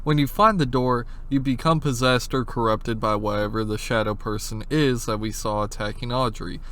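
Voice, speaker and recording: A man's voice: medium pitch, fairly even intonation, moderate pace, fairly bright tone. A man giving narrated analysis commentary, explaining in an informal way with casual asides. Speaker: video essayist, horror series analyst.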